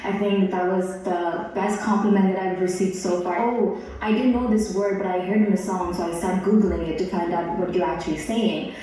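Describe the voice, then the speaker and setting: A woman musician whose first language is Tamil talks earnestly in an interview, pausing on fillers. Her voice sits fairly low. She sounds distant in a very echoey room. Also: steady tone, mellow. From Tamil-speaking background.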